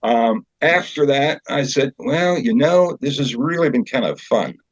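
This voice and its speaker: An older man chatting as an interview guest, telling anecdotes in a halting, conversational storyteller's way. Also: mid-range voice, very animated, dry recording. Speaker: guest, community theater director and actor. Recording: slightly dull, dry recording.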